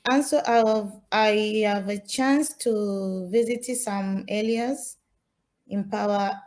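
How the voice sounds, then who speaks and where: A young woman from Tanzania presents her slides at a slow, unhurried pace. She pauses often and restarts her sentences, and her is rather than English. She speaks at a mid pitch, like a student giving a talk. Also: fairly even intonation, darkish tone. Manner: halting, many fillers and restarts.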